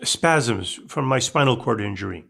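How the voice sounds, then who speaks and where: A man acting a gruff, weary older uncle in a script read, speaking tersely with a husky, gravelly voice. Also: medium pitch, animated, a little slow, a bit bright, heavily acted. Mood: stern, irritated. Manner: terse, commanding. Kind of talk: screenplay table read acting.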